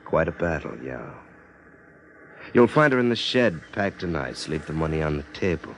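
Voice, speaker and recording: Low-voiced, troubled beachcomber. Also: male voice, very animated. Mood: terse, guarded. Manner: halting, guarded, anxious delivery. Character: troubled, withdrawn, fearful male beachcomber fisherman. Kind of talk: 1950s radio drama acting. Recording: noisy old radio recording.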